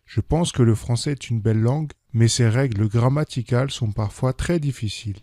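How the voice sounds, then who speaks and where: A man reading a first-person French text clearly for language learners. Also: medium pitch, normal speed, dark tone, no echo, clean studio sound.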